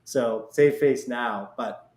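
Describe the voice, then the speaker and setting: A man with a mid-pitched voice in a roomy, echoey space. He talks casually as a podcast host, slipping into little quoted asides as he explains things in a conversational way. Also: slow, darkish tone. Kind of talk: casual interview chat.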